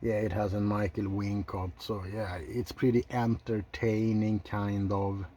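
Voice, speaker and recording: A man giving unscripted ASMR film commentary in a soft, low-key, halting way, blunt and opinionated with casual swearing. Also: level tone. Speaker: video host reviewing films.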